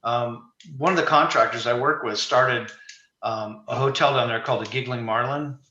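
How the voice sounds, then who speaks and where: A man's voice: mid-range voice, moderate pace, bright, forceful tone, roomy sound, highs cut off. A man in his sixties chatting casually, opinionated and talking in long runs. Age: 60s. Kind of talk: casual chat.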